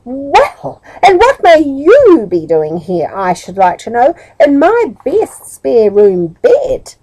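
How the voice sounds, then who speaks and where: A woman voicing a bossy fat woman who scolds loudly and indignantly. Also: medium pitch, huge pitch swings, a little slow, husky, heavily acted, poor audio.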